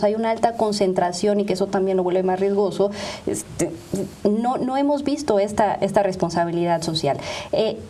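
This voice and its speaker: A woman's voice: mid-range voice, dark tone, slight background noise. A woman with a Mexican accent explaining points didactically as a guest in a panel discussion, arguing through examples. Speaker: panel guest, media ethics expert.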